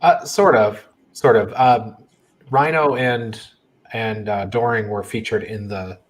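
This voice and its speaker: A man chatting casually as a sports podcast panelist, halting as he thinks aloud. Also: fairly low pitch.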